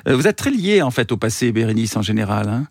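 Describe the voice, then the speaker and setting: A man hosting a radio interview show, brisk and enthusiastic, complimenting his guest. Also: medium pitch, big pitch swings, vocal fry, no echo, studio quality. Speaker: radio host. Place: radio studio.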